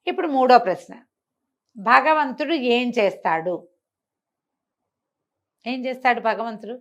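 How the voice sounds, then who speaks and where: A woman with a fairly high voice tells a traditional moral story. She speaks conversationally, explaining as she goes and slipping into the characters' dialogue as an oral storyteller would. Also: lively intonation, a little slow, a bit bright. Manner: conversational, explanatory, acts out dialogue, rhetorical questions. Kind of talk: animated oral storytelling of a moral folk tale.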